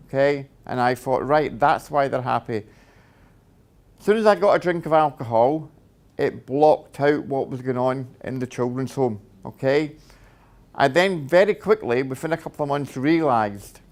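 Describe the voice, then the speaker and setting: A middle-aged Scottish man speaks in a mid-range voice, telling his life story in plain, direct words. He fills pauses with "uh" and keeps checking in with "okay?". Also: medium pitch. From Scotland. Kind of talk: personal testimony talk.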